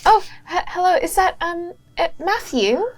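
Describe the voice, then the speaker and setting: A woman's voice: very high voice, lively intonation, moderate pace, voice pushed up. A woman voicing an eager young female investigator who talks in a breathless rush.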